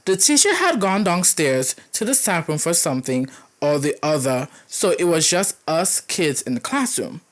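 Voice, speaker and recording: A woman's voice: low-pitched, very animated, normal speed, hardly any pauses. A young woman, reading horror stories aloud and breaking in with dramatic, reactive commentary, often stumbling over the typos. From Caribbean.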